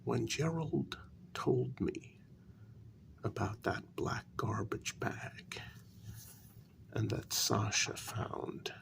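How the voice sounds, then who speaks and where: A man playing a vampire queen's devoted, aging human consort in a soft-spoken ASMR role-play, speaking slowly and deliberately in a breathy half-whisper. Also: mid-range voice, expressive, exaggerated voice. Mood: tender, resigned. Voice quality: breathy, creaky. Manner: slow, deliberate, half-whispered, with lingering pauses.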